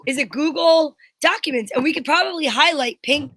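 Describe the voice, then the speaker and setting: Female co-host: sharp high voice, dry close sound, casual self-mocking banter. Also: fairly quick, no room sound. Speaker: co-host and performer. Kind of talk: casual chat.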